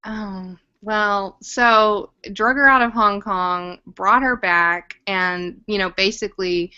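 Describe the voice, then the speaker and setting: Young woman podcast cohost, bright voice, gushy and jokey chatting, kinda muffled lofi. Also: mid-range voice, takes it slowly, clean tone. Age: young adult. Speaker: podcast co-host. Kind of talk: casual chat discussing a TV drama. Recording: dull lo-fi audio.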